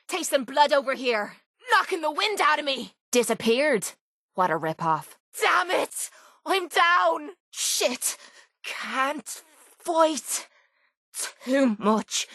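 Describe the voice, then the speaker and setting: A scrappy, hot-tempered young Irish fighting woman for a video game. Her voice sits on the high side, and she talks bluntly and cockily, with a salty tongue. The sound is very dry, with no room around it. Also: bright, forceful tone, no echo, heavily acted. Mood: pained, cursing. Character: brash, foul-mouthed young female cage fighter, tough and hot-tempered. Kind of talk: video game companion voice lines.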